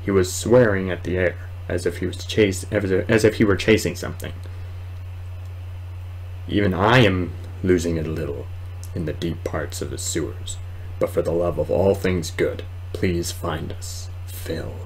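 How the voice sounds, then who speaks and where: Casting: man, twenties, lowish voice, casual hesitant gamer commentary, noisy home recording. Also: moderate pace. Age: 20s. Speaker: gamer, video host. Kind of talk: casual let's play commentary. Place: small room at home, indoors.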